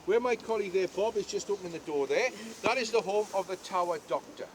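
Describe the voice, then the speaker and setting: A man's voice: sits high, lively intonation, fast, a little hiss or hum. An older Welsh man giving a jokey, chatty guided tour outdoors at a historic castle, often asking the crowd questions.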